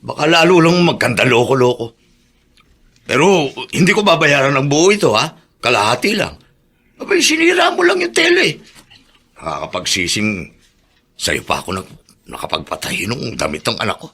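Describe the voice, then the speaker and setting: An actor playing a scornful, gruff male customer in a Filipino radio drama, husky and exasperated, with a Filipino accent. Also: medium pitch, sing-song, normal speed, pauses often, bright, forceful tone, heavily acted. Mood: indignant. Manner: exasperated, belittling complaints.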